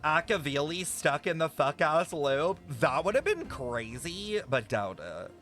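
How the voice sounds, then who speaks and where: Bright-voiced young streamer musing aloud. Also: male voice, fairly high pitch, unhurried, soft background music. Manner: thinking out loud, excited speculation, frequent swearing. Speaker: streamer reacting to a game. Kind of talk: casual reaction commentary.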